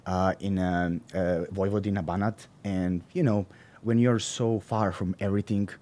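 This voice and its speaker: A young man with a Serbian accent speaks in a lowish, dark voice. He talks casually as an interview guest, pausing, repeating words and hunting for the right phrase. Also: steady tone. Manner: halting, searching for words, many fillers and restarts. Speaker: guest, professional bodybuilder.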